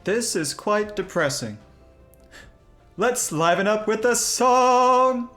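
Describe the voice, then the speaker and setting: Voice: mid-range voice, expressive, slow pace, fairly bright tone, soft background music. A performer playing a theatrical, self-pitying bard in a radio drama, grand and melodramatic.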